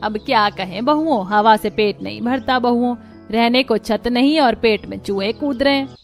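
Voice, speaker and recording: A woman's voice: on the high side, normal speed, faint music behind, natural voice. A woman voicing a wry, practical old village mother-in-law in a cartoon story, plain-spoken and scolding. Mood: wry, resigned. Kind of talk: Hindi cartoon story dub.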